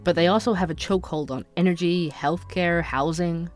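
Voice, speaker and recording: An actor playing a dogged, wry young male investigative podcaster in an audio drama, with a dry, measured, sardonic delivery. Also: medium pitch, faint music behind, lightly acted. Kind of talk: scripted narration and dialogue, audio drama.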